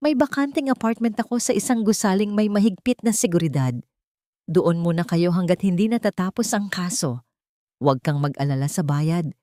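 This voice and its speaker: Protective attorney, played by a female narrator, calm, earnest, determined, audio drama, dry studio sound. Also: on the low side, normal speed, dry recording, natural voice. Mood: firm, reassuring.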